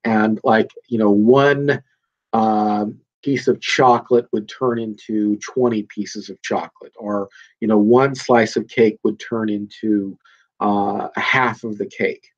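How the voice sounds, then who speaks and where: A man's voice: lowish voice, steady tone, takes it slowly, muffled recording. A man hosting his own podcast, talking off the cuff in an emphatic, rambling way with plenty of fillers and restarts, a little nasal and congested from a cold. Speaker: podcast host. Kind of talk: casual solo podcast monologue.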